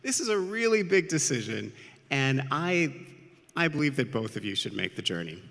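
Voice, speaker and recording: A man's voice: mid-range voice, sing-song, moderate pace, vocal fry. Man as a reasonable father, echoing hall. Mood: thoughtful. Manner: considered, reasonable, gentle persuading. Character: kindly, fair-minded village father. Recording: long reverberant hall sound.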